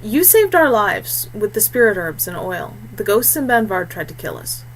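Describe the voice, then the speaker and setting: A woman voicing an enslaved forest woman in an audiobook, anxious but steady and guarded. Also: mid-range voice, normal speed, a little hiss or hum, low-quality recording.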